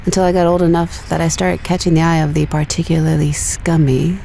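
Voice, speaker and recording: A guarded young woman space explorer speaks in a lowish voice with heavy fry. Her delivery is dry, understated and teasing, like intimate sci-fi radio drama over ship comms, with some background noise. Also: flat delivery, a little fast, mellow, poor audio. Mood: wistful, dry. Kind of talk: intimate, naturalistic audio drama dialogue.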